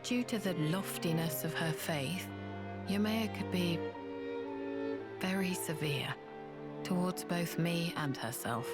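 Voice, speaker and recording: A somewhat low female voice, playing a proud, embittered loyal retainer in a dramatic game scene. Her delivery is slow, guarded and formal, with loud orchestral strings playing behind her. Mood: grave, wistful. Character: bitter, proud young woman, loyal imperial retainer. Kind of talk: dramatic video game acting. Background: loud orchestral strings music.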